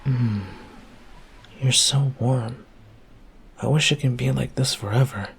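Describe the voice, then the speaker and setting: A man's voice: mid-range voice, steady tone, slow, vocal fry, slight background noise. A man playing an affectionate, easygoing boyfriend in an intimate roleplay audio, talking tenderly and casually straight to the listener. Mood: tired, tender. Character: affectionate easygoing boyfriend home from work on a snowy night.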